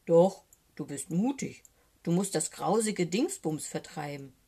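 A woman voicing a gruff, good-natured, gullible male bear in a children's storybook, talking in a plain, naive, grumbly way. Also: lowish voice, lively intonation, unhurried, warm tone, exaggerated voice. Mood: frightened, grateful.

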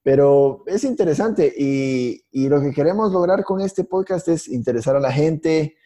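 A man co-hosting a podcast, telling stories in a halting way with many restarts and fillers. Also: fairly high pitch, dark tone. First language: Spanish. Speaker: podcast co-host, IT instructor. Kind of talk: casual podcast conversation.

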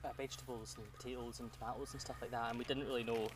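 A man's voice: medium pitch, flat delivery, very fast, recorded from a distance, noisy background. Chatty Scottish man in his thirties. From Scotland. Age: 30s. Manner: chatty, laughs often, trailing fillers. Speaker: podcast guest. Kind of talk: casual chat while gardening.

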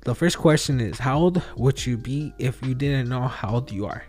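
A man in his thirties hosting a solo podcast, thinking out loud in a rambling, self-questioning way with many fillers and restarts. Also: medium pitch, moderate pace, faint music behind. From outside the US. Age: 30s. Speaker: podcast host. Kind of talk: solo reflective podcast monologue.

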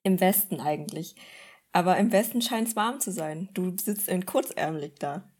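A woman co-hosting a casual podcast, relaxed and low on energy. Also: moderate pace, husky. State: feeling cold. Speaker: podcast co-host.

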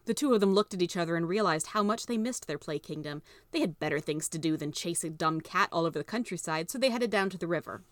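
Casting: female audiobook narrator with a fairly low voice, reading scripted fiction in a dry acoustic. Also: expressive, fast talker, hardly any pauses, no echo.

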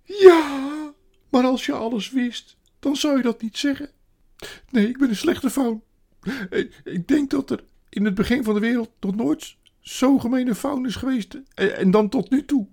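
A storybook reader voicing a sorrowful, guilt-ridden male faun who wails and confesses haltingly. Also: mid-range voice, gravelly, a little mellow, voice pushed up. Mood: tearful, self-reproaching. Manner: wailing, self-accusing, halting.

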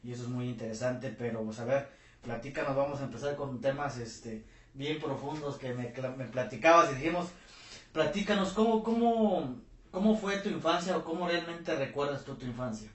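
A man hosting a casual interview, speaking warmly and haltingly with many fillers. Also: medium pitch. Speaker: interview host, channel host. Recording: roomy echo.

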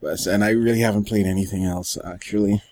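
A man co-hosting a podcast, chatting casually in a rambling, halting way. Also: on the low side, steady tone, a little mellow. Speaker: podcast co-host. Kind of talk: casual chat about anime and games.

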